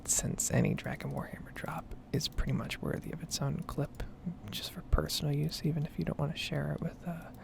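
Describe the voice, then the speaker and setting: A woman softly whispering a casual, rambling ASMR commentary over a game. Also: low-pitched, fairly even intonation, a little fast, gravelly, background noise. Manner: soft-spoken, rambling, casual asides. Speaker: gaming video creator.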